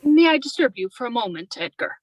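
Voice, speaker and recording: A strong-willed young wife acted by a woman with a high-pitched voice. She speaks in a confiding, insistent and polite way, in the style of old-time radio drama. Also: sing-song, fast talker, very noisy. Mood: coaxing.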